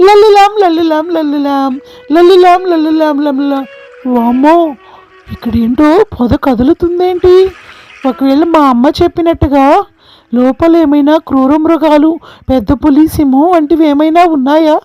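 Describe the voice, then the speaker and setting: A man's voice: very high voice, normal speed, warm tone, poor audio. A man voicing a cheeky, headstrong young female fawn in a storybook tale, whiny and sing-song. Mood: frightened.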